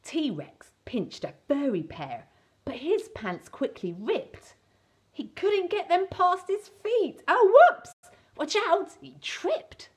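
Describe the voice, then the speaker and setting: A woman's voice: high voice, sing-song, slow pace. A middle-aged woman reading a children's storybook as an entertainer, with a playful, exaggerated, theatrical delivery. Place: small indoor set with fabric backdrop.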